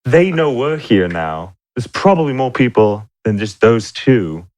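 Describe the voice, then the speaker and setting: A man's voice: mid-range voice, big pitch swings, measured pace, crowd noise. A man playing a tabletop role-playing game, talking casually about his moves. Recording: other players' voices around him.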